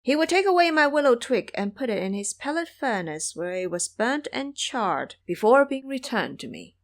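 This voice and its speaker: Woman as a stern, measured goddess. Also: on the high side, big pitch swings, voice pushed up. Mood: composed, explaining. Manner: measured, formal, admonishing. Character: serene, authoritative female bodhisattva, sternly maternal.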